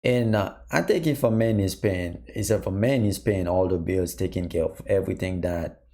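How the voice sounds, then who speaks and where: A man's voice: deep voice, moderate pace, creaky, dark tone. A man hosting a reaction video, commenting on a clip and putting questions to his viewers. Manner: thinking aloud, posing questions to viewers.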